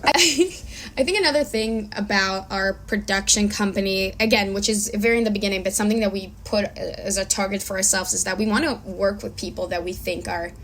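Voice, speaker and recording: A woman's voice: medium pitch, brisk, fairly bright tone, a little hiss or hum. Young woman from israel, filmmaker chatting casually in an interview, mid-range voice. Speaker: guest, filmmaker and producer.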